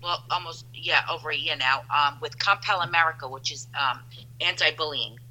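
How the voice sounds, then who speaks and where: A woman in her fifties chats as a podcast guest with a very bright, forward tone. She rambles cheerfully and keeps restarting her sentences, and her voice sits a touch low. The sound comes through like a phone line. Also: brisk. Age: 50s. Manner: rambling, upbeat, many restarts. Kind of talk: casual chat.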